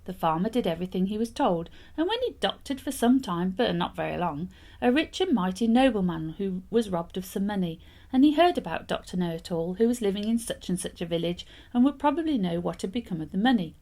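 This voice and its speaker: A woman reads a fairytale in a medium-pitched voice. Her delivery is expressive, with lively swings of intonation that suit a storyteller. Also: fairly quick.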